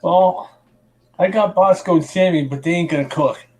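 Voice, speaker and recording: A man's voice: sits high, normal speed, a little mellow. A man joking in a laid-back way during a live chat. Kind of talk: casual chat.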